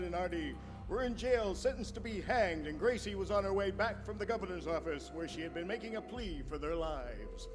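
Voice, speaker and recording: Man acting high-voiced radio announcer, music behind. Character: formal old-time radio show announcer. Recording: reverberant hall with music behind.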